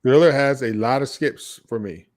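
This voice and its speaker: A man's voice: medium pitch, moderate pace. A man in his late forties casually debating music as a podcast co-host, emphatic and opinionated, often repeating his points. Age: late 40s.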